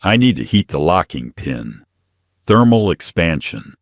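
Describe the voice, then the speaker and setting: A man's voice: low-pitched, sing-song, unhurried, dry recording, studio quality, heavily acted. A man voicing a stubborn young male mechanic in an audio story, blunt and irritated, with a defiant edge. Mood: matter-of-fact.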